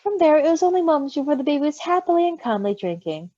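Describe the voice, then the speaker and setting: A woman's voice: high voice, fast talker, dry recording. A woman reading fan fiction aloud expressively, with gushing, casual asides. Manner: expressive, gushing, giggly reactions. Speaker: story reader. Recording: dull, lo-fi close recording.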